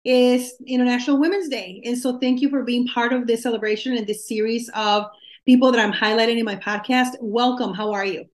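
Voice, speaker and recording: A woman's voice: fairly high pitch, steady tone, a little fast. A woman hosting a podcast, giving upbeat introductions and asking a guest about her story. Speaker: podcast host. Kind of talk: podcast interview.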